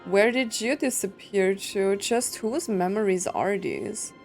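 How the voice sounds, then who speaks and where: A woman streams a story game with music playing underneath. She talks casually, thinking out loud and questioning what she sees in an average-pitched voice. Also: slow pace. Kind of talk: casual reaction commentary.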